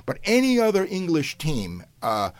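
A man's voice: fairly high pitch, huge pitch swings, normal speed, no echo. An older man, a professor whose first language is Hungarian, telling long stories in an interview, rambling with many fillers and restarts, flaring up with animation now and then. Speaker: professor and guest. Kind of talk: conversational interview storytelling.

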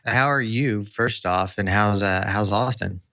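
Interview host with a crisp, bright voice, friendly and chatty, heard on lo-fi call audio. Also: male voice, on the low side, measured pace. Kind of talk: casual interview.

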